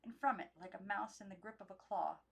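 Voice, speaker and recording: A young woman reads a storybook aloud in an unedited, expressive narration with occasional stumbles. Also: medium pitch, fairly quick, gravelly, slightly rough. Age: young adult. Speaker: amateur book reader.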